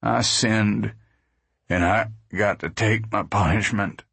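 A man's voice: lowish voice, slow pace, gravelly, a bit bright, breathy edge, no echo, full character voice. A man voicing a sick, sad, guilt-ridden older uncle in a storybook, weary and downcast. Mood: sad, resigned.

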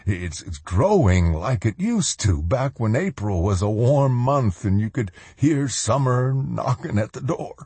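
A rustic old man for a storybook, folksy and full of wonder. He draws his words out slowly in a husky voice, emotional and excitable. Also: medium pitch, dry recording, voice pushed up. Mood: choked with awe. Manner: folksy, exclamatory, drawn-out.

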